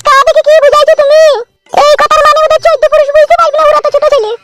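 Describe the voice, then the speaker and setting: A woman's voice: very high voice, little pitch movement, rapid-fire. A woman voicing a scolding, exasperated village wife in a comedy cartoon, nagging in crude rural slang. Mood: scornful.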